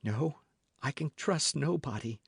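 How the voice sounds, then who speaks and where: A man reading an audiobook as an anxious, guarded young gentlewoman who speaks in a formal, fretful, pleading way. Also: a little high, animated, unhurried, gravelly, husky, no echo, clean studio sound, pitched above the performer's own voice, full character voice. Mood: worried.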